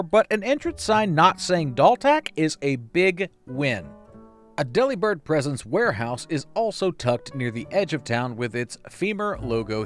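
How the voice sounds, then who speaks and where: Man, high-pitched lively voice, comedic scripted video narration, wry playful asides, faint music behind. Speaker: YouTube video essay narrator.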